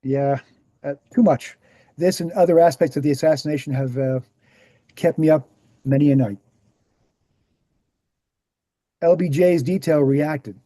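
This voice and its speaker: Casting: man to play earnest male author-lecturer, very mellow and soft-edged, slightly high pitch, measured explanations, dry close sound. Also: no room sound, close to an everyday voice. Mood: grave. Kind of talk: screenplay table read, explanatory lecture.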